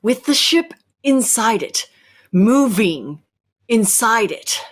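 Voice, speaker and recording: A woman playing a knowing, imperious rebel captor who speaks deliberately and probingly in a radio sci-fi drama. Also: on the high side, sing-song, exaggerated voice. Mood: insistent. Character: commanding, knowing rebel captor challenging orthodox belief. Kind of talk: cold-read old-time radio sci-fi drama.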